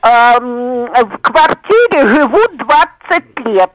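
A woman in her eighties calling a radio show by telephone, telling her personal problem step by step in a deliberate way. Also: fairly high pitch, expressive, dry recording. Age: 80s. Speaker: phone-in caller. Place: on the phone. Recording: telephone call on a radio broadcast.

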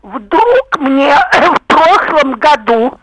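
An elderly woman in her eighties speaks over the telephone as a radio caller. Her voice is high and very slow, dry in sound, as she gives a deliberate, plain account. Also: big pitch swings, fairly bright tone, dry recording. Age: 80s. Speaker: phone-in caller. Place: on the phone. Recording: telephone call on a radio broadcast.

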